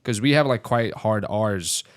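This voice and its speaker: A man from Transylvania whose first language is Hungarian, chatting as a comedian guest on a podcast, animated and quick to laugh. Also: on the low side, no room sound, clean studio sound. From Transylvania, Romania. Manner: animated, laughs often, rambling. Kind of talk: casual podcast chat.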